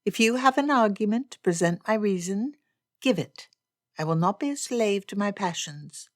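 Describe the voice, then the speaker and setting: A woman voicing a spirited, stubborn young gentlewoman in a period romance audiobook, speaking in emphatic, impassioned formal phrases. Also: medium pitch, animated, normal speed, no room sound, clean studio sound, natural voice. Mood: indignant.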